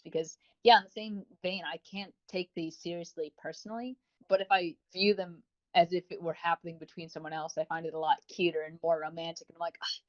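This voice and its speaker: A man co-hosting a casual review podcast, chatty and quick to give his opinions. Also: fairly high pitch, muffled recording. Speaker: podcast co-host reviewing audio roleplays.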